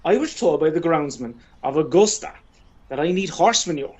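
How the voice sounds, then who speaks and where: An ageing Irish sporting has-been acted by a woman in a radio play, full of himself and forever wheedling. The voice is husky and very animated, leaping about in pitch as it chats and brags. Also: normal speed. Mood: wheedling, chatty. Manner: pompous, chatty, persistent. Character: boastful, wheedling ageing male Irish pitch and putt champion. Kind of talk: radio play monologue and dialogue.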